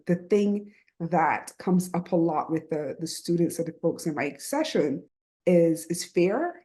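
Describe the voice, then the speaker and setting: A middle-aged woman from Barbados with a Barbadian accent, speaking earnestly and reflectively as a podcast guest, often checking in with "right?". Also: on the low side. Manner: earnest and reflective, with frequent "right?" and soft laughs. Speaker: guest, writing program founder. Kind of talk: reflective conversational interview.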